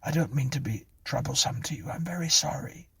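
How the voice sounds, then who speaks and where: A man voicing a frantic, pleading, guilt-haunted woman in a thin, breathy, tremulous falsetto for a storybook. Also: very animated, measured pace, fairly bright tone, no room sound, exaggerated voice. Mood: confused, apologetic.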